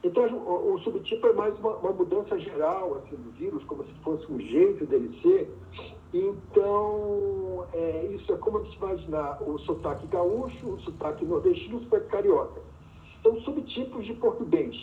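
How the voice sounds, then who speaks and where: Doctor guy explaining stuff slowly like a teacher, kinda high voice, sounds far off from the mic. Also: lively intonation, a little hiss or hum. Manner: patient, teacherly explaining with everyday examples. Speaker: physician and pathology researcher, podcast guest. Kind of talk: explanatory interview answer. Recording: distant, echoey, phone-like remote audio.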